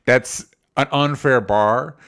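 A man's voice: mid-range voice, takes it slowly, creaky, fairly bright tone. A man co-hosting a podcast, talking casually in a rambling way and often rephrasing himself mid-thought. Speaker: podcast co-host. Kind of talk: casual film discussion chat.

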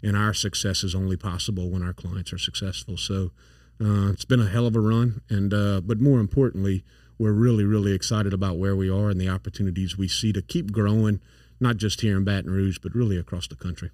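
A man's voice: low voice, fairly even intonation, brisk, a little mellow, no room sound. A man in his forties with a south Louisiana accent, a company founder talking casually as an interview guest, earnest and full of fillers and restarts. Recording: dry, a bit far from the mic.

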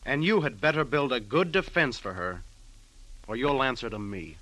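An actor playing a stern, blunt young suitor and prosecutor in an old radio drama, firm and clipped. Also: male voice, mid-range voice, expressive, normal speed, over music, natural voice, poor audio. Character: stern, blunt male assistant district attorney in love. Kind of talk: old-time radio acting.

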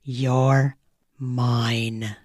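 A stern, possessive young man, as a woman reader puts him on, murmuring his commands low and slow. The voice sits deep and even, and the recording is close and dry with no room sound. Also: no echo, full character voice. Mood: commanding.

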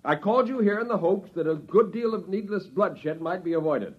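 An anxious university professor, with a fairly high voice and educated, hesitant phrasing. He reasons courteously and earnestly, on a dull vintage radio drama recording. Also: expressive, a little fast, slightly rough. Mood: anxious, pleading. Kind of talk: 1950s radio drama acting.

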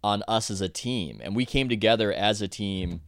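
Author guy on a podcast, lowish smooth voice, kinda rambly, keeps restarting sentences. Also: normal speed, fairly bright tone, no room sound, studio quality. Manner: rambling, self-correcting, frequent restarts. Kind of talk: casual podcast chat.

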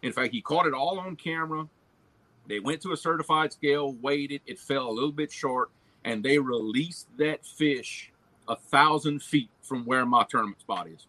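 A man's voice: medium pitch, moderate pace, pushed and bright, no room sound. A man from Louisiana with a Cajun accent, chatting casually about fishing and often repeating his words as he starts a sentence. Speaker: guest angler on a fishing livestream. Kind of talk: casual chat.

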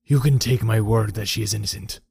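A man reading an audiobook and voicing a bitter, crippled old soldier in a gruff, impassioned manner. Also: fairly low pitch, brisk, dark tone, no room sound, clean studio sound, heavily acted. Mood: agitated.

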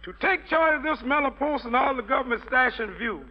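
A man's voice: very high-pitched, brisk, muffled recording. A man performing a wisecracking, jive-talking soldier in a 1940s radio variety comedy, reciting garbled orders in playful hep slang on a scratchy old broadcast. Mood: playful, cocky.

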